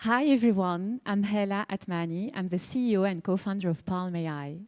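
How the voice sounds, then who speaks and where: Woman founder from Tunisia, mid-range voice, delivering an earnest, emphatic stage pitch in a dry, close recording. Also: fairly quick, a little mellow, no room sound. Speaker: startup founder and CEO pitching.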